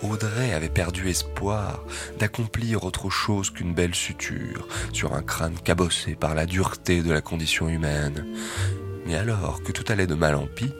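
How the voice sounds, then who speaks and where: Male storyteller: deep pitch, solemn literary narration for audio fiction, music bed behind. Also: moderate pace.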